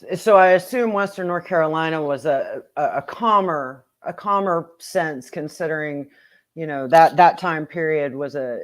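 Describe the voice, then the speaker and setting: A woman's voice: low voice, normal speed. Enthusiastic woman hosting. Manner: enthusiastic, laughs readily, restarts phrases. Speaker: host. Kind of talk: hosting a casual interview.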